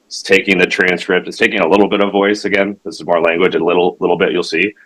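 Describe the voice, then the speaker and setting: A man giving an informal product demo as a tech founder, talking in a halting, conversational way with self-corrections and frequent check-ins. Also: deep voice, little pitch movement, a bit bright, poor audio. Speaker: tech company founder demonstrating his product.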